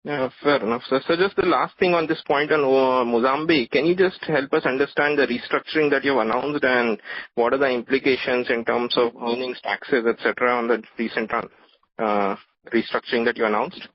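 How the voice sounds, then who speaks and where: A man with a husky, medium-pitched voice asks questions as an analyst on a conference call. The sound is slightly muffled. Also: steady tone, brisk. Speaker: financial analyst asking questions. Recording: muffled conference phone line.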